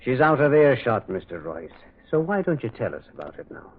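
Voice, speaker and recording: A man acting a gentle, shrewd priest detective in a radio drama, musing and insistent, repeating himself as he probes. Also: mid-range voice, big pitch swings, fairly quick, slight background noise. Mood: insistent, revealing. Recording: lo-fi, narrow-band old radio sound.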